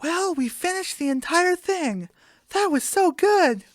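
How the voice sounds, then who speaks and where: A woman voicing an excitable, easily shocked chicken in a radio comedy sketch, exclaiming melodramatically. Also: extremely high pitch, unhurried, no echo, voice pushed up. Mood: eager.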